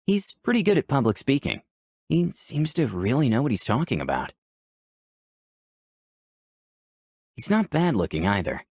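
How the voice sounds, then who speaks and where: A man's voice: medium pitch, no echo, studio quality, exaggerated voice. A man reading as a flirty, cocky personification of Lust, narrating a visual novel in a musing, theatrical way. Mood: intrigued. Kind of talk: first-person visual novel read aloud.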